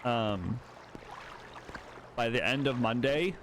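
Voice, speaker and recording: A man's voice: mid-range voice, animated, slow, background noise. A man hosting a let's play, chatting casually and self-deprecatingly as he plays. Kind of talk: casual gameplay commentary.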